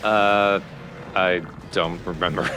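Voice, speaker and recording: A man's voice: low voice, steady tone, slow pace, pushed and bright, faint music behind. A man giving casual let's play commentary as the player, with dry, wry asides.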